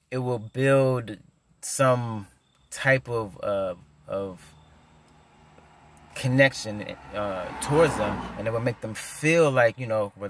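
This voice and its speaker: Slow, low-voiced man who stutters. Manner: stutters, with repeated words and many fillers. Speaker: vlogger. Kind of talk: casual advice vlog. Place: parked car, indoors. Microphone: phone camera at arm's length.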